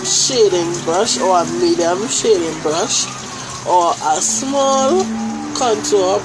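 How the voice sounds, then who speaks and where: A young woman from Trinidad with a Trinidadian accent, reviewing makeup brushes into a close phone camera in a small room, talking in an unhurried way with many fillers. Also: medium pitch, noisy background. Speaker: beauty vlogger. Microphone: phone camera held close.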